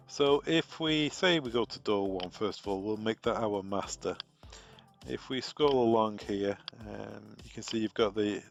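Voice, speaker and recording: Slow, gravelly British man walking through setup. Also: fairly low pitch. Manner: relaxed, thinking aloud with fillers. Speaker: product trainer. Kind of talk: software configuration walkthrough.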